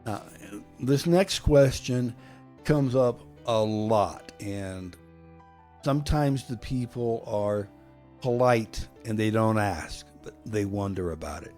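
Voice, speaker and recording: A man, a pastor, speaking in measured phrases and pausing so his words can be interpreted. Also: fairly low pitch, a little mellow, soft background music. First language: English. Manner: slow, measured, with pauses for interpretation. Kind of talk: bilingual sermon-style talk.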